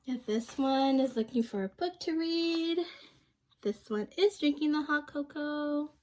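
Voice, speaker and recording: A woman's voice: high voice, a little slow, darkish tone, a little hiss or hum. A young woman reading a storybook aloud to children, speaking simply and expressively and prompting her listeners with questions. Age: young adult.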